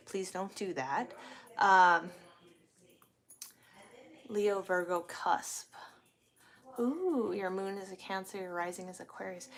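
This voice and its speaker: A woman in her forties hosts a casual livestream, replying to comments as they come in. She talks in a candid, rambling way, like someone thinking out loud with regular viewers. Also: medium pitch. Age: 40s. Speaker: livestream host, truck driver. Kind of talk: casual live chat answering viewer comments.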